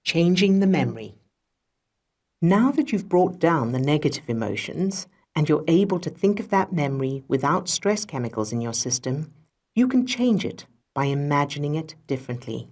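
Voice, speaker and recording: A woman narrating a self-help audiobook as its author, in a measured, instructional way with deliberate phrasing. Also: sits low, sing-song, a little mellow. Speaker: author reading own audiobook.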